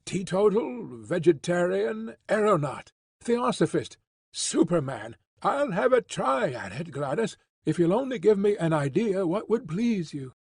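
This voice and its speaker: A man's voice: high voice, very animated, measured pace, creaky, a little mellow, slightly rough, no room sound, heavily acted. A man doing an eager young suitor. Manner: pleading, impulsive.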